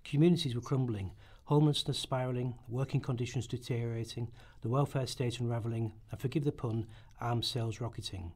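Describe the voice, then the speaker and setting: Male narrator reading, slightly husky. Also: mid-range voice, a little mellow. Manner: stumbles over words and rereads. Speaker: radio play narrator in rehearsal. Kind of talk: scripted narration read aloud in rehearsal.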